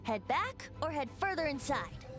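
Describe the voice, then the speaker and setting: Playful teasing bard, high and swoopy, game music behind. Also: male voice, sing-song, moderate pace, a bit bright, heavily acted. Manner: theatrical, teasing. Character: cheerful playful young male bard.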